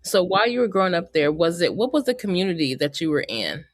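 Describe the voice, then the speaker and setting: A woman's voice: fairly low pitch, very animated, fast talker, no echo, studio quality. A woman hosting a podcast interview, chatty and upbeat, laughing easily as she talks with her guest. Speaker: podcast host. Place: Bay Area.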